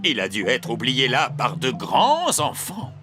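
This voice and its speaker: Hearty elf dad, swooping delivery. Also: male voice, medium pitch, wildly swooping pitch, unhurried. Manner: hearty, instructive. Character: hearty, proud elf father, practical builder. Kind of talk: children's cartoon acting. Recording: loud music behind the voice.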